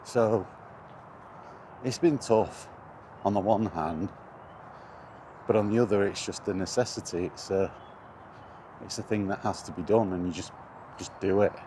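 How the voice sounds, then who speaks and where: A man with a lowish voice talks casually, as a gaming vlogger would, in a rambling and hesitant flow with a wry edge of exasperation. He is recorded outdoors, with some background noise around him. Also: unhurried, long pauses, dark tone. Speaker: video game vlogger.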